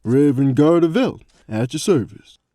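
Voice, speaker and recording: A man as easygoing exorcist priest, lightly high voice, mellow, folksy, fantasy podcast. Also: animated, normal speed, dry recording, close to an everyday voice. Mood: matter-of-fact. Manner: casual, colloquial. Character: laid-back, folksy adventuring priest exorcist. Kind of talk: fantasy audio drama acting.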